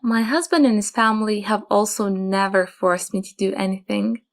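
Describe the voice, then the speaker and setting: A young woman, calmly telling her own story in a soft, measured way. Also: mid-range voice. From Japan. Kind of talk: calm personal testimony.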